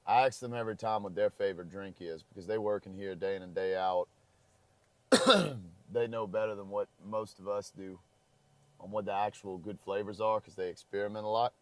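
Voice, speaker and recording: Hoarse low-voiced man. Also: brisk, no echo. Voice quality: crackly voice. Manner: rambling, easygoing. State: hoarse, losing his voice. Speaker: travel vlogger. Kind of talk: casual chat. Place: parked car.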